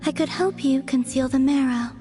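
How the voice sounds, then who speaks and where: Actress as an aloof young game maiden, airy, calm, cryptic, unhurried, raised pitch, music behind. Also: female voice, natural voice. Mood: serene, instructive. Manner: unhurried, detached, cryptic, understated. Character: serene, aloof young moon maiden, enigmatic and detached. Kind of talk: video game voice acting.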